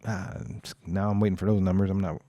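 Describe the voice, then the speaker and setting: A man's voice: low-pitched, fairly even intonation, quick pace, mellow, no echo, lo-fi sound. A man in his forties co-hosting a casual podcast, rambling through a story and quoting what others said, with a lingering cough. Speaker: podcast co-host. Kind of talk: casual chat.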